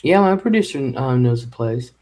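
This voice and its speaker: A man at mid pitch, musing out loud on a home microphone as he hosts a relaxed live chat. He wanders through his thoughts with frequent fillers and restarts. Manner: halting and rambling, with many fillers. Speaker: live stream host.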